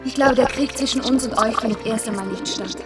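A woman's voice: on the high side, fairly quick, over music, close to an everyday voice. An actress playing a tender, hopeful woman in love in a science-fiction radio play.

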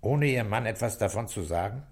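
An actor playing a calm, middle-aged police inspector in a radio drama, questioning in a measured, dry way. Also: male voice, on the low side, husky. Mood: pointed, dry. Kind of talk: 1960s German radio drama acting.

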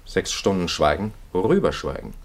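Low-voiced, weary priest. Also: male voice, very animated, moderate pace, full character voice. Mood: weary, insistent. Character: weary, principled male priest, impatient and plain-spoken. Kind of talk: 1950s German radio play acting.

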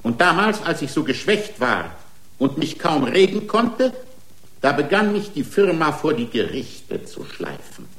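An actor playing an aggrieved, excitable racing driver in a radio drama, pleading his case in indignant, long-winded, formal rhetoric. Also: fairly high pitch, unhurried. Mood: self-pitying. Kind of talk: radio drama acting, courtroom speech.

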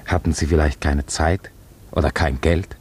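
Husky, deep-voiced chaplain. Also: male voice, steady tone, normal speed, a little mellow. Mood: gently probing. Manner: earnest, probing, pastoral. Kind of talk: radio play acting.

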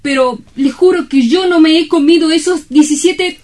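A woman's voice: high voice, moderate pace, noisy background, close to an everyday voice. An actress playing a melancholy, world-weary romantic lady in a comic stage play, with a wistful, affected and dramatic delivery. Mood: sheepish.

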